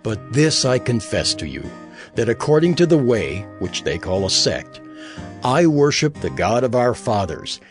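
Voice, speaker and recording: An actor playing an earnest apostle on trial, giving an emphatic, oratorical defense in an audio Bible drama. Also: male voice, mid-range voice, very animated, unhurried, soft background music. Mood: earnest, confident.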